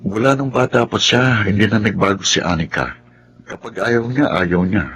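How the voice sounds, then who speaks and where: A man's voice: lowish voice, normal speed, a bit bright, husky. A man playing a patient, understanding older brother in a Filipino radio drama, speaking earnestly and respectfully. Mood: resigned, reflective.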